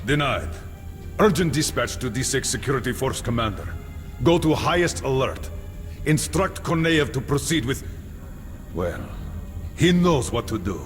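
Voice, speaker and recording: A man's voice: medium pitch, sing-song, a little slow, long pauses. An actor playing a skeptical male military colonel in a dramatic video game, curt, commanding and combative. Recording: long reverb, music underneath. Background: music.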